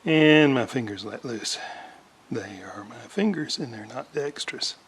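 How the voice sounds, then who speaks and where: A man giving a casual hands-on repair walkthrough, halting and muttering to himself as he works. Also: medium pitch, lively intonation, a little hiss or hum. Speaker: hobbyist radio restorer, presenter.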